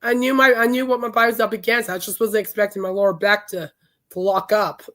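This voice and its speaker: Hoarse chatty woman podcast host. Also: medium pitch, flat delivery, fast talker, a bit bright. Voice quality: raspy, worn throat. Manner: chatty, enthusiastic storytelling. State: hoarse, voice shot from illness. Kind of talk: casual rambling chat.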